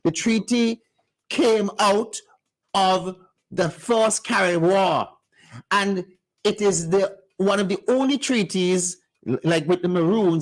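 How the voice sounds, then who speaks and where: A man's voice: high voice, rough recording. A man co-hosting a radio talk show, lecturing insistently and talking over the others in a heated discussion. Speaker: radio co-host. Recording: radio studio.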